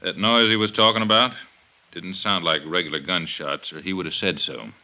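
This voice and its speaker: Low, hard-edged police inspector. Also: male voice, moderate pace, exaggerated voice. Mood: gruff, skeptical. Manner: curt, probing, forceful. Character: gruff, hard-nosed male police inspector. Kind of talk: 1950s radio drama acting. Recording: muffled 1950s radio recording.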